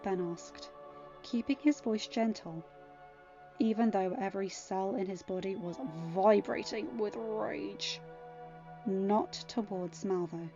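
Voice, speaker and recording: A woman reading scripted fiction as an expressive storyteller. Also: medium pitch, slow. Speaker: fan fiction narrator. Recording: dull lo-fi audio. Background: faint music.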